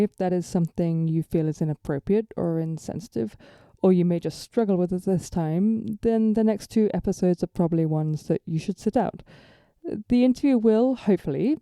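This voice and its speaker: A woman's voice: on the low side, warm tone, clean tone, no echo, studio quality. A woman hosting a podcast interview, chatting in a friendly, casual way with lots of small affirmations. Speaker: podcast host.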